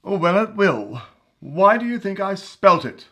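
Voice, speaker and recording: A woman playing an exasperated mother of grown children in a comic radio soap, halting, dry and melodramatic. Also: medium pitch, sing-song, a bit bright.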